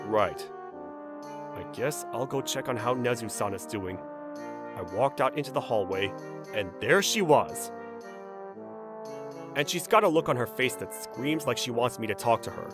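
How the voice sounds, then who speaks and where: A man playing a level-headed, gently teasing young man in a manga dub, narrating and talking in a dry, blunt manner. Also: medium pitch, expressive, moderate pace, long pauses, music in the background, natural voice. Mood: matter-of-fact.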